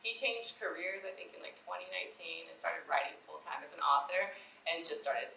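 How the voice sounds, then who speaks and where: A woman's voice: on the low side, fairly quick, creaky, bright, forceful tone, slight background noise. A woman author talking casually in an onstage interview, chatty, with lots of fillers and restarts. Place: festival event room. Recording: echoey room.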